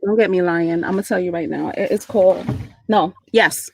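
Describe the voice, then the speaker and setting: A woman's voice: fairly low pitch, moderate pace, vocal fry, darkish tone, a little hiss or hum. A woman from Brooklyn with a New York accent, chatting casually and sassily as a knitting mentor on a livestream. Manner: sassy, chatty, laughs often.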